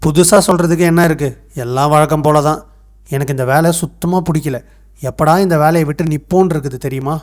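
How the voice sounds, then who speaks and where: A man voicing a frustrated, stubborn character in an animated moral story, speaking in an aggrieved, emphatic way. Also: on the high side, brisk, lightly acted. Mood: bitter. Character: frustrated, stubborn man defending the work he wants.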